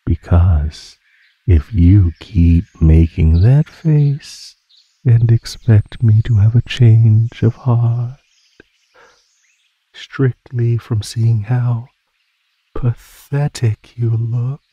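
A man voicing a predatory male naga in an ASMR roleplay, husky and breathy, teasing his prey in a mockingly sweet drawl. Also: medium pitch, very slow, no echo, dull audio. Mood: playful menace. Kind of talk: ASMR roleplay, scripted monologue.

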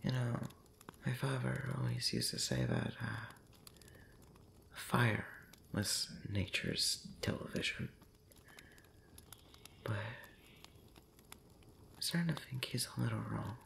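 A man speaking softly and breathily, often whispering, in an intimate ASMR roleplay monologue, rambling hesitantly with long pauses. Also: fairly low pitch, big pitch swings, creaky, slight background noise. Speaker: audio roleplay voice actor.

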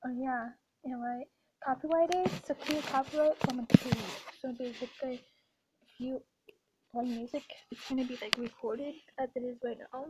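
A woman's voice: sits high, fairly even intonation, moderate pace. A teenage girl chatting casually with her friend in a school hallway, bossy and giggly, sometimes dropping to a whisper, recorded on a close laptop webcam. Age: teens. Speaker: vlogger. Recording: dull lo-fi webcam audio with clear background noise.

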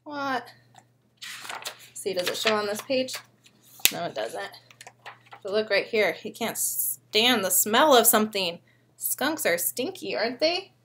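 A young woman reading a picture book aloud to small children like a preschool teacher, expressively and often pausing to ask them questions. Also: medium pitch, animated, a bit bright, slight background noise. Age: young adult. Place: living room at home.

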